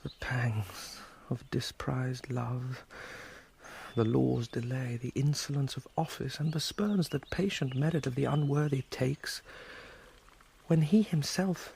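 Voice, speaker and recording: Guy playing a moody tormented young prince in a shakespeare radio play, long pauses, bitter, bit of background hiss. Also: medium pitch, steady tone, creaky, darkish tone. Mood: brooding, hushed. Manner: theatrical, deliberate with long pauses. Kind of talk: Shakespearean radio drama acting.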